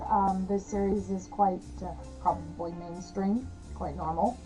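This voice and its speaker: Slightly hoarse chatty woman vlogger. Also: mid-range voice, fairly even intonation, unhurried, soft background music, poor audio. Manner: chatty, rambling, frequent fillers and soft laughs. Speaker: witchcraft vlogger. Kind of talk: casual talk to camera.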